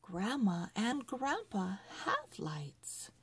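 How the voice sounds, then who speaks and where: Woman as a practical storybook mother, very slow. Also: medium pitch, huge pitch swings, breathy edge, heavily acted.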